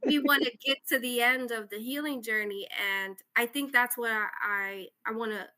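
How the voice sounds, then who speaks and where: A woman's voice: a little high, steady tone, moderate pace, sharp tone, poor audio. A woman from New Jersey who speaks English as a second language, talking casually and reflectively as an interview guest. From Camden, New Jersey, USA. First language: not English, English is second language. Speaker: interview guest, author and social worker.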